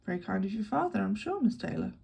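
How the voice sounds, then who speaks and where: A woman's voice: mid-range voice, sing-song, quick pace, vocal fry, dark tone, breathy edge, exaggerated voice. A woman reading an audiobook, voicing a wry, easygoing young man working as a tour guide, in a dry, lightly ironic tone.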